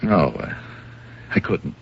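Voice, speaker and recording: A man acting in a radio mystery as a nervous, defensive stage manager under suspicion, halting as he protests. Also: very deep voice, big pitch swings, creaky, slight background noise, full character voice. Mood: reluctant, uneasy. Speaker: radio drama actor. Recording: dull old radio broadcast recording.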